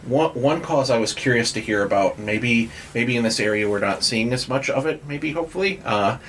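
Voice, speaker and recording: A man's voice: mid-range voice, expressive, normal speed, fairly bright tone, slight background noise. A man hosting a casual interview, asking questions in a tentative, roundabout way. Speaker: podcast host, interviewer.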